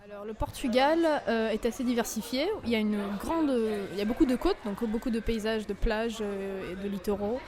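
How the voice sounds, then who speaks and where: A teenage girl speaks hesitantly and fills her pauses. Her voice sits a little high. Other people can be heard around her. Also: crowd noise. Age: teens. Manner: hesitant, with many fillers. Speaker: student.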